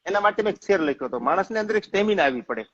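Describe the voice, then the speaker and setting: A man with a high voice speaks in an impassioned, emphatic monologue. He talks quickly and informally. The recording is a little dull and lo-fi. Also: expressive, a bit bright.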